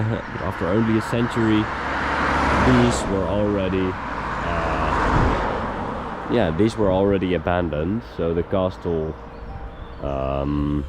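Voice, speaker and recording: Hoarse, low-voiced man vlogging a hike. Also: background noise. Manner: unhurried, hesitant, explaining as he walks. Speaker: travel vlogger. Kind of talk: casual travel narration on a hike. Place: outdoors on a hiking trail.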